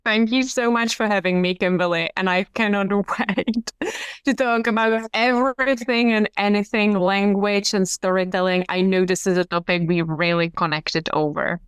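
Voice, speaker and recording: Woman, smooth clear voice, slow pace, dry close sound. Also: mid-range voice, steady tone, dry recording. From Czech Republic. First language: Czech. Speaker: podcast guest, hypnotherapist. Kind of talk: conversational storytelling.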